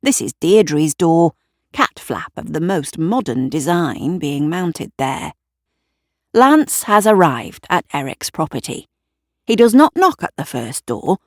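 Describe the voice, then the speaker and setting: Woman narrating, unhurried and expressive. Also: deep voice, no room sound, studio quality. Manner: wry, descriptive. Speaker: writer and narrator. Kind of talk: scripted dramatic storytelling.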